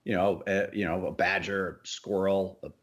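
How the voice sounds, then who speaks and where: Low-pitched man chatting on a podcast. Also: fairly even intonation, moderate pace, a bit bright. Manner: conversational, informal. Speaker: podcast co-host. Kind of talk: casual podcast chat.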